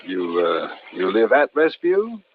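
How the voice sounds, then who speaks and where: Old radio drama, tense guarded husband, very animated pitch, muffled noisy recording. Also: male voice, takes it slowly, exaggerated voice. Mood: wary questioning. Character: tense husband warily guarding his car. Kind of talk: 1940s-50s radio thriller acting.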